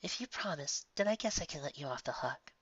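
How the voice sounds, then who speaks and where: A man's voice: on the high side, expressive, fairly quick, a bit bright, husky, no room sound, dull audio, voice pushed up. A man voicing a casual, easygoing female pony with dry humour and hesitant, informal speech in a story reading. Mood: grudging.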